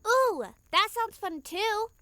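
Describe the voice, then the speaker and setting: A very high, bright little-girl voice for a whimsical camper in an audio drama. She is sweet and gushing, swooping widely in pitch while taking her time over the words, with music behind her. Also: lively intonation, takes it slowly, dry recording, full character voice. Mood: excited, eager. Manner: gushing, childlike enthusiasm. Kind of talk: light-hearted audio drama acting.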